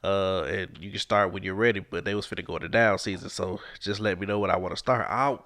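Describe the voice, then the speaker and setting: A man's voice: low voice, steady tone, fast talker, fairly bright tone, no room sound. A man, hosting a casual podcast with a stuffed-up, congested nose, earnest and encouraging and sliding into preacher-like exhortation. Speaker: podcast host.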